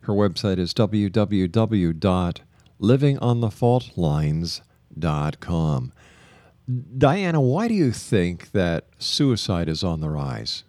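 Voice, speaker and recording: A man hosts a radio interview in a fairly low voice. His phrasing is deliberate and emphatic, and the sound is dry, with little room in it. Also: big pitch swings, a little mellow, dry recording. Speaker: radio host. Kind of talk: radio interview with broadcast announcements.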